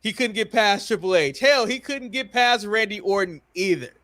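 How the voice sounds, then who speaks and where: A man with a notably high voice hosts a wrestling podcast. He talks in an opinionated, chatty recap style. His delivery is blunt and emphatic, with some swearing. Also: lively intonation, moderate pace, sharp tone. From United States.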